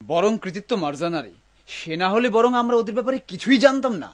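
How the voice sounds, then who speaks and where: A man's voice: high voice, very animated, a little fast. A man voicing an earnest young son in a cartoon. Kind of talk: dubbed cartoon acting.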